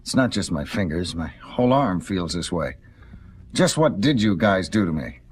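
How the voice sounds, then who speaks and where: An actor playing a bitter, defiant injured astronaut colonel in an audio drama, speaking gruffly. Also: male voice, low voice, big pitch swings, normal speed, slight background noise. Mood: alarmed.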